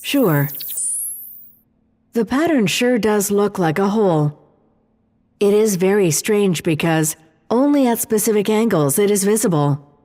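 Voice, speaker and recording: A mellow female artificial intelligence speaks inside a long, hall-like reverb. She is calm and precise, laying out analysis in measured, formal sentences, as in a sci-fi audio drama. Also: mid-range voice. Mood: calm, helpful.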